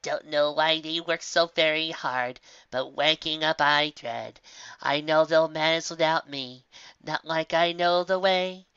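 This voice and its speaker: A woman voicing a lazy, grumbling female pony magician who sings to herself in long drawn-out phrases. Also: low-pitched, fairly even intonation, fairly bright tone, smooth, no room sound. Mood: sleepy grumbling. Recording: dull, muffled lo-fi recording.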